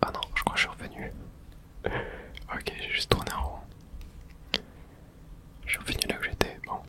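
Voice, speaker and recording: A gamer speaks in a breathy, unvoiced whisper with a bright, crisp edge. They narrate their play in an ASMR style, murmuring small reactions and mild curses as they go. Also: moderate pace. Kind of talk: whispered ASMR video game let's play.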